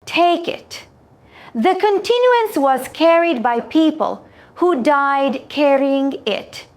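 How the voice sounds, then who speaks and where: A woman voicing an alien trader in a science-fiction story, measured, precise and quietly merciful, with formal legalistic phrasing. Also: high voice, heavily acted. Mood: solemn, quietly merciful.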